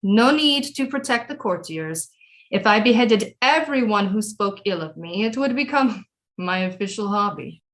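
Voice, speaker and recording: A woman playing a cunning, imperious French queen mother with grandiose, deliberate period speech in an audio drama. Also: mid-range voice, expressive, fairly bright tone, close to an everyday voice, poor audio. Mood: knowing, dry. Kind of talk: theatrical audio drama acting.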